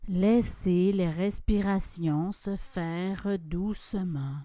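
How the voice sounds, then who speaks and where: A woman guiding a yoga nidra relaxation, giving calm, soft instructions with long pauses between phrases. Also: fairly low pitch, dry recording, clean studio sound. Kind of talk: guided relaxation meditation.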